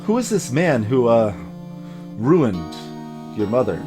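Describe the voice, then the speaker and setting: A man voicing a quiet, concerned young rookie defense lawyer in a video game, hesitant and full of questions. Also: medium pitch, sing-song, slow, pitched below the performer's own voice. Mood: gently probing. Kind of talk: voiced video game dialogue. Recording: music behind the voice.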